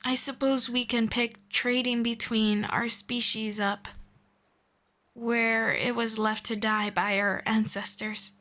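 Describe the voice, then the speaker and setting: A gentle, ageless elf woman, voiced by a woman in an ASMR roleplay, patient and kind as she teaches. Her voice sits a little high and unhurried, each phrase drawn out slowly. Now and then it sinks into a hushed whisper. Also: little pitch movement. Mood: gentle, soothing. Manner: soft-spoken, slow and patient, drifting into whispers. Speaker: voice actor.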